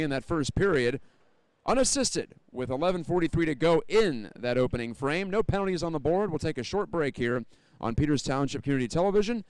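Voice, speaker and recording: A man's voice: a little high, clear, resonant tone, no echo. A man with an American accent calling live hockey play-by-play, fluent and descriptive, lifting his energy with the action. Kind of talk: live sports commentary.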